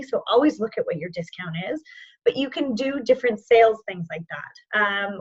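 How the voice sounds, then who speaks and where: A woman training sales representatives in an online session, informal and encouraging, with a voice that is going hoarse. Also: on the high side, dull audio. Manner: informal and encouraging, checks in with "okay?". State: losing her voice, hoarse.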